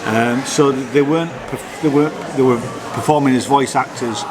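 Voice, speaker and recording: A man hosting a vlog, giving a casual, halting and blunt review to camera. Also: medium pitch, low-quality recording. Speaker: vlog host reviewing festival shows. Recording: loud crowd chatter behind. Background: busy crowd.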